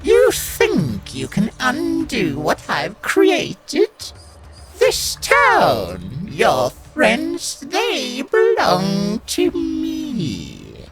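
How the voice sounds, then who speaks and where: A man voicing a cruel, sinister female witch who hisses her words slowly and mockingly in an audiobook story, over a music bed. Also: very high-pitched, wildly swooping pitch, full character voice, rough recording. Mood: menacing. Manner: slow, drawn-out, gloating delivery. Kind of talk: storybook narration acting.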